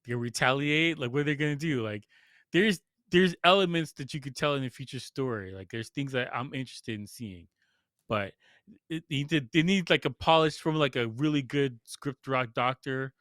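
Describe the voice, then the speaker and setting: A man's voice: medium pitch, normal speed, a bit bright, no room sound, clean studio sound. A man co-hosting a podcast, giving blunt, opinionated film reviews in casual conversation. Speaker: podcast co-host reviewing films.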